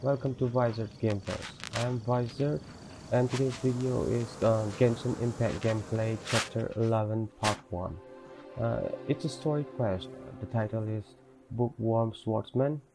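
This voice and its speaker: A man hosting a gameplay video, giving a simple, halting intro to viewers. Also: medium pitch, steady tone, poor audio. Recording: quiet voice under loud music.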